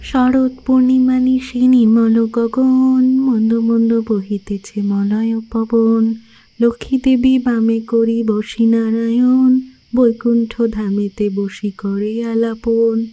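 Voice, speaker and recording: Village housewife in an audio horror story, highish voice, expressive, devout mom type. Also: female voice, slow pace, poor audio. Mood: devout, chanting. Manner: coaxing, expressive. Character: devout, placating village housewife and protective mother. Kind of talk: audio drama acting.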